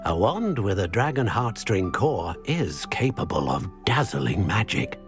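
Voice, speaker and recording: Eccentric elderly wandmaker for a fantasy game: slow, swooping delivery, gentle, absorbed, full of murmured musing. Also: male voice, lowish voice, sing-song. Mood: warm, instructive. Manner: murmuring hesitations, musing aloud, exclaiming. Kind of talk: theatrical video game cutscene acting. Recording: music behind the voice.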